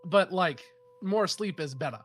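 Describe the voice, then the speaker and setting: A man's voice: sits high, unhurried, faint music behind. Nasal man with a head cold, game master. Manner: relaxed, rambling with fillers and swearing. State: stuffy nose from a head cold. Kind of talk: running a tabletop game over voice chat.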